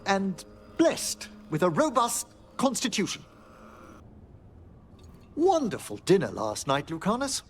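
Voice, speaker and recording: An actor playing a courteous, scholarly gentleman necromancer in a fantasy game, with formal, genteel phrasing. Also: high-pitched, wildly swooping pitch, slow, long pauses, a little hiss or hum, heavily acted. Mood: polite, wry.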